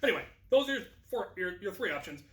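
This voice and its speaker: An American man in his thirties telling a casual anecdote as a video host, in an animated manner. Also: high-pitched, fast talker, background noise. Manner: animated, acts out quoted lines. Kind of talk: casual anecdotal storytelling to camera. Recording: echoey room, distant microphone.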